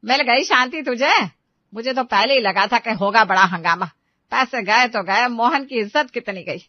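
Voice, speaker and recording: A sharp, reproachful mother-in-law, as a woman puts her on, with a voice pitched above the usual. Her lines come out loud and scolding. The recording is dull and dry, like a storybook voice-over. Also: normal speed, no room sound, close to an everyday voice. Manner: stern, reproachful, tearful at the end.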